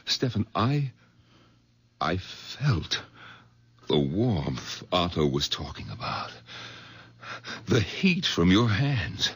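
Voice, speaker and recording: A sour, exasperated country doctor in an old radio mystery play. His lowish voice rises and falls a lot and has a husky edge. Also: extremely slow, long pauses, heavily acted. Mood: elated. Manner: exasperated, then overjoyed and excitable. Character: bitter middle-aged male country doctor. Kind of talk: 1970s radio drama acting.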